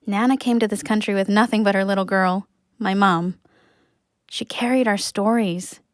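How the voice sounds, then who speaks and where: A woman's voice: mid-range voice, fairly even intonation, moderate pace, no echo, studio quality, lightly acted. A woman playing an earnest, wistful young adult in an audio drama. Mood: confiding. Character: young Canadian, wistful, nature-loving, awkward and earnest. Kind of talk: audio drama narration and acting.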